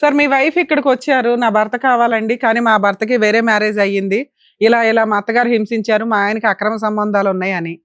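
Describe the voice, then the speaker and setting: A woman's voice: on the high side, steady tone, no room sound. A woman advocate from who questions a caller sharply on a family dispute, talking as a studio counsellor. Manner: probing, quick questions.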